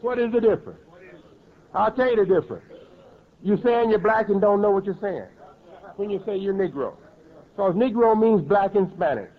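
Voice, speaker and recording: A man's voice: high-pitched, expressive, moderate pace, long pauses, narrow, tinny audio. A man preaching a sermon in an emphatic way, repeating and rephrasing his words as he builds each point.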